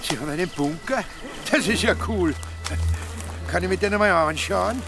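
Naive, high-voiced man. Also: expressive, moderate pace, over music. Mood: curious, impressed. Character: naive, curious male listener, easily impressed. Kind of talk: radio drama acting.

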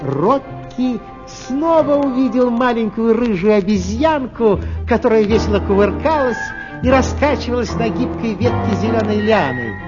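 Animated woman storyteller. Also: fairly high pitch, moderate pace, dull audio, music in the background. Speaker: narrator. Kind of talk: storytelling narration for children.

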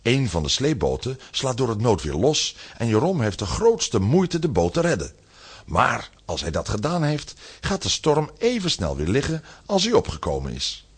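A man's voice: mid-range voice, huge pitch swings, moderate pace, husky. A man narrating a scripted children's story as the narrator of an audio drama.

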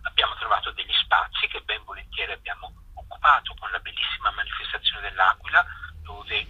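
Husky, low-voiced man being interviewed. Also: big pitch swings, fairly quick, no echo, muffled recording. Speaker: spokesman of a jazz festival association being interviewed. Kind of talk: informal promotional interview, explaining a project.

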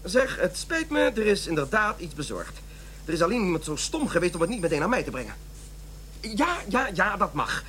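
An actor in a radio play playing an irritable, defensive office manager and husband who is quitting smoking. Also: male voice, sits high, big pitch swings, moderate pace, fairly bright tone. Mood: irritated, snapping. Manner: blustering, defensive. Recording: faint noise and hum.

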